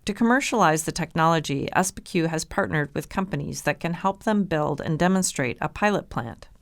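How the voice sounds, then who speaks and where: A woman's voice: low voice, expressive, a little fast, vocal fry, no echo, clean studio sound. A woman reading scripted narration as the host of a podcast.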